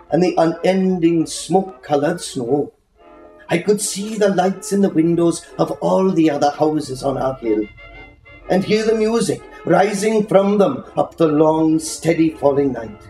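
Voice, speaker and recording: A man reads a literary story aloud in a dramatic, lyrical way. He takes his time over the phrases. The room adds a clear echo around his fairly high voice. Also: animated, mellow. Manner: dramatic, lyrical, unhurried delivery. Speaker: storyteller reading aloud at a live reading. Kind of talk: expressive literary story reading. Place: indoor reading in a bookshop. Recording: roomy echo, faint music behind.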